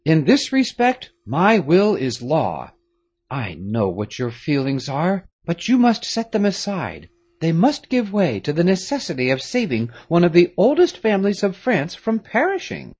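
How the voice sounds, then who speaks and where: A commanding aristocratic father lays down the law, as a man reads him. His husky voice rises high and swings widely as he speaks firmly and gravely. It is a storybook figure. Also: huge pitch swings, unhurried, a little mellow, heavily acted. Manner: firm, authoritative. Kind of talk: audiobook character reading.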